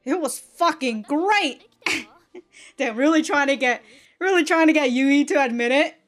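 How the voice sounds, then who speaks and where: A woman with a fairly high voice gives casual running commentary as a reaction host. She takes her time, talks loosely with some swearing, and is giggly by nature. Music plays softly underneath her. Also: lively intonation, measured pace. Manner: giggly, often breaking into laughter.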